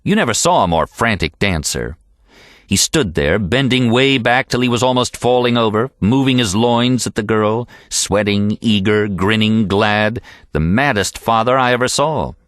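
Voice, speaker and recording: A man reading an audiobook in an expressive, animated storytelling style. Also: on the low side, normal speed, no room sound, clean studio sound. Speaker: audiobook narrator. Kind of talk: expressive scripted audiobook narration.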